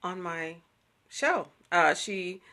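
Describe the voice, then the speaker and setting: A woman's voice: fairly low pitch, expressive, extremely slow, sharp tone. A middle-aged woman hosting a casual promotional video chat, enthusiastic and emphatic, repeating phrases, recorded on a phone at arm's length in a small room. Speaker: host, writer and producer of an audio drama series.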